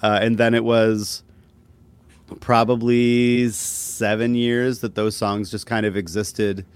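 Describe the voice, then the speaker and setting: A man chatting casually as a musician being interviewed, talking in a laid-back, self-deprecating way with halting phrasing. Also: on the low side, level tone, smooth, no room sound. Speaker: musician guest.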